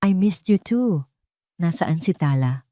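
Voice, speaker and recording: A woman's voice: fairly low pitch, lively intonation, takes it slowly, mellow, dry recording, studio quality. A woman narrator playing a loving, anxious father in an audio story, speaking tenderly and with emotion.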